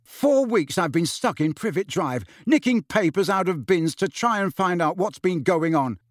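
A man voicing an angry teenage boy wizard who shouts bitter, sarcastic questions. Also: sits high, animated, normal speed, dry recording, clean studio sound, voice pushed up. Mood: furious.

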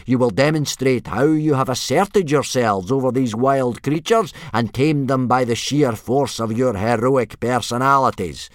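A man voicing a loud, ill-tempered, pompous Viking warrior instructor barking commands in a children's storybook. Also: mid-range voice, a little slow, hardly any pauses, no room sound, studio quality, pitched above the performer's own voice, natural voice. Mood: pompous, commanding. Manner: bellowing, blustering, pompous commands.

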